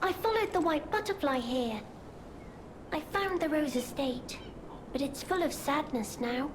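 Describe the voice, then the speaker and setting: A woman playing a gentle, childlike female automaton in a video game, innocent and wistful, speaking with earnest, tender simplicity. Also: sits high, expressive, measured pace, background noise. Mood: wistful, glad.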